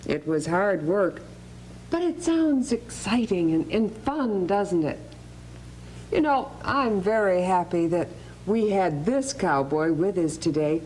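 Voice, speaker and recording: A woman's voice: fairly low pitch, lively intonation, slow, a little mellow, low-quality recording. A woman hosting a scripted educational programme in a courteous, inquisitive, conversational way. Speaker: host. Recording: hum and faint background noise.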